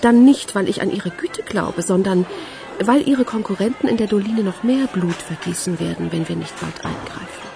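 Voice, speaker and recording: A woman acting in a German radio crime drama as a sharp-witted, sceptical investigator who sounds dry, probing and sardonic. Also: medium pitch, moderate pace, vocal fry, natural voice. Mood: coolly challenging.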